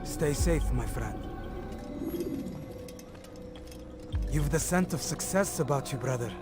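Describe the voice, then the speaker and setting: A man playing a stern, proud but brotherly assassin in a video game, with measured, formal, old-fashioned phrasing. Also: mid-range voice, animated, close to an everyday voice. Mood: measured, supportive. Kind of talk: scripted video game acting. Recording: dramatic music underneath.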